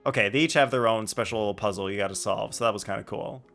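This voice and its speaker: A man's voice: lowish voice, fast talker, vocal fry, a bit bright, soft background music. A man streaming a game, chatting casually and musing aloud in a rambling way. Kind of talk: casual live-stream chat and commentary.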